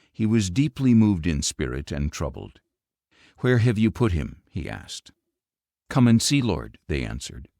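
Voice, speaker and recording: A man with a fairly low voice reads scripture as an audiobook narrator. His intonation moves widely, with a measured, expressive storytelling delivery. The recording is dry and close, free of room sound. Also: no echo.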